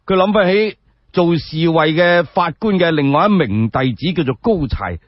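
A man telling a dramatic story solo for radio in Cantonese, colloquial and expressive, with chatty asides to the listener. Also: fairly high pitch, moderate pace, no echo. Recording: dull lo-fi radio recording.

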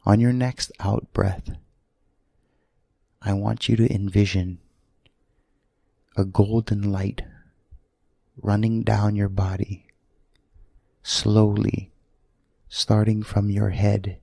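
A man leads a sleep meditation in a gravelly, soothing voice. He speaks very slowly, in short, gentle phrases separated by long pauses. The sound is close and dry. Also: fairly low pitch, little pitch movement, no room sound. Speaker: guided meditation leader.

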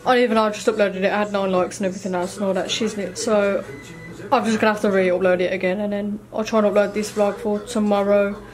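A woman's voice: mid-range voice, flat delivery, quick pace, a crowd in the background, low-quality recording. A young woman in her twenties from England, chatting in a rambling, slangy, sweary way to a camera held at arm's length in a hotel room. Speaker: vlogger. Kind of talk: casual vlog chat. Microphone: phone or camera at arm's length.

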